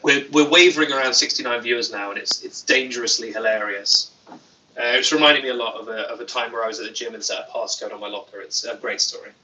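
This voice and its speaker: A man hosting a casual livestream, reading viewer comments with a deadpan, playful manner and jokey asides. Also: medium pitch, quick pace, recorded from a distance, slight background noise, rough recording. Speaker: YouTuber livestream host.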